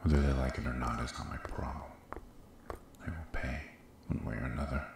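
A man voicing a possessive, protective demon king in a husky, very deep voice, formal and intimate, in an ASMR roleplay. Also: steady tone, normal speed, background noise. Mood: quietly furious. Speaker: voice actor.

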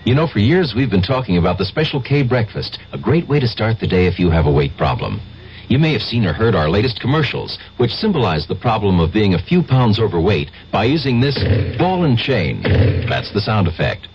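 A man's voice: on the low side, big pitch swings, brisk, over music. A man with an American accent reading a conversational radio advertisement as a spokesman. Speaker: commercial spokesman. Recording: dull vintage radio recording, faint hiss.

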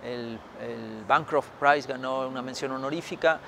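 A man's voice: medium pitch, normal speed, creaky, a bit bright, a little hiss or hum. A middle-aged man from Mexico answering interview questions outdoors, thoughtful, with many fillers and restarts. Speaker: historian author. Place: outdoors by a building.

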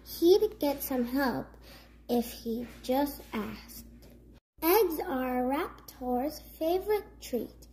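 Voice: high-pitched, lively intonation, drawn-out words, warm tone. A child reading a rhyming picture book aloud as a story-time host, carefully and word by word.

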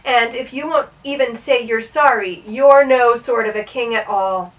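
Woman as a high, urgent, outspoken storybook boy. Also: a little slow, far from the mic, lightly acted, low-quality recording. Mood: defiant. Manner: urgent, scolding, shouting. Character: outspoken, exasperated boy servant, bold and earnest. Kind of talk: storybook character voice.

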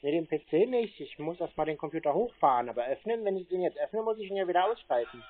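A man's voice: a little high, big pitch swings, fast talker. A man chatting casually on a call, rambling and stalling in a nonchalant way. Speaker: scambaiter taking a scam call. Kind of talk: casual, stalling phone chat. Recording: muffled narrow-band audio with noticeable noise.

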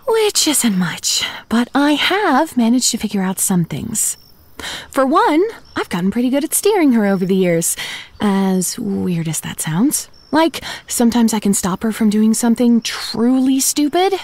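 A woman's voice: a little high, very animated, measured pace, a little mellow. A woman playing a wry, upbeat young female zombie who chats self-deprecatingly to the listener in a comedy audio drama. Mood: wry, confiding. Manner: conversational, self-deprecating, sardonic asides. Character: chatty, wry, upbeat young female zombie narrating her undead life.

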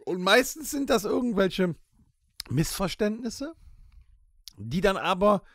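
A man chatting casually as a podcast co-host, explaining things in stop-start, drawn-out phrases. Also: high voice, big pitch swings, no echo. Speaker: podcast co-host and band musician. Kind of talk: casual chat. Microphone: close studio podcast microphone.

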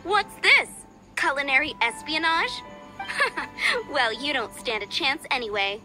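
A woman's voice: high voice, lively intonation, a little slow, over music. A woman playing a cocky, boastful rival village cook in a video game. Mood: smug taunting. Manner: smug, boastful. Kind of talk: scripted video game voice acting.

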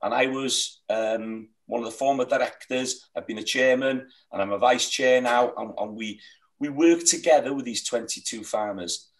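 A man's voice: medium pitch, moderate pace, far from the mic. A middle-aged Welsh man whose first language is Welsh, describing his hill farm with earnest, heartfelt passion on a video call. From Wales. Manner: earnest, heartfelt, rambling with restarts. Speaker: sheep farmer guest. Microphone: webcam on a video call.

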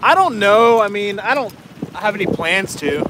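A young man with a fairly high voice chats easily on a live stream, laughing as he goes, his voice going a little hoarse. He talks into a phone held at arm's length in an open boat. Loud wind and water noise sit under every word. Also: sing-song, moderate pace. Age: young adult. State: losing his voice. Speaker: host. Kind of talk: casual live-stream chat. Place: small inflatable boat on a lake, outdoors. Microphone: phone camera held at arm's length.

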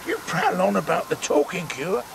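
An actor playing a gruff, sardonic, lazy older chief inspector in a radio comedy-drama. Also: male voice, sits high, very animated, moderate pace, full character voice. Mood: grumpy, dismissive. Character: grumpy, callous, lazy older male police chief inspector. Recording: noisy outdoor sound effects behind.

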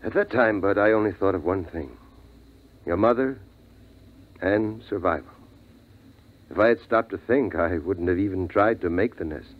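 A man's voice: deep voice, moderate pace, pauses often, lightly acted. A man playing a grave, weary middle-aged father, a survivor telling his story solemnly in a 1950s radio drama. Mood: grave, reflective. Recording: thin, phone-like vintage radio recording.

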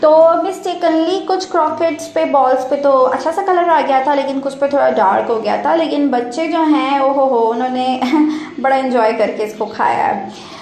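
A woman's voice: high voice, moderate pace, a little mellow, roomy sound, rough recording. A woman, chatting casually as she explains cooking steps to viewers in a home kitchen vlog.

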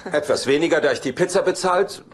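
An actor playing a cocky, teasing older brother in a dubbed sitcom, with a dry, mocking delivery. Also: male voice, on the high side, fast, background noise, close to an everyday voice.

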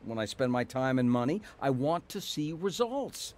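A man's voice: medium pitch, big pitch swings, moderate pace, a little mellow, lightly acted. A man playing a proud, skeptical, practical older brother in an audio drama, grumbling and sardonic. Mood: irritated, sardonic.

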